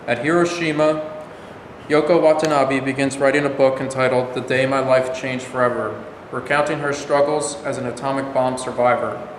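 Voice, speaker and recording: A man's voice: medium pitch, fairly even intonation, moderate pace, close to an everyday voice. A man in a staged reading with a formal, deferential delivery, as a presidential aide and narrator. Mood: formal narration. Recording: very echoey noisy hall recording.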